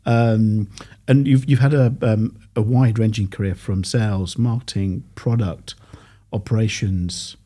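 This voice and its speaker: A male podcast host introducing his guest in a halting way, with many pauses and restarts. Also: lowish voice, steady tone, dark tone. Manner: halting, many fillers and restarts, self-deprecating. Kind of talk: interview introduction.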